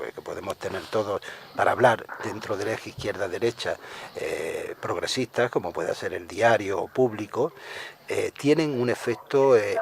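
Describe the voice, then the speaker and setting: A man's voice: fairly low pitch, creaky. A man from Spain, a journalist guest on a radio interview, who trails off into hesitations, then presses his points with force.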